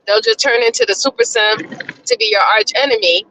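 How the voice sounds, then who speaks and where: A woman in casual chat on a live stream, explaining her view in a measured way with lots of "you know". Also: fairly high pitch, wildly swooping pitch, noisy background.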